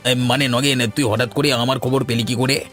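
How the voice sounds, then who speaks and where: A man's voice: mid-range voice, fairly even intonation, moderate pace, fairly bright tone, soft background music, lightly acted. A man voicing a shifty, wheedling village debtor in a cartoon, hesitant and stammering. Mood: flustered. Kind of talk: Bengali cartoon dialogue.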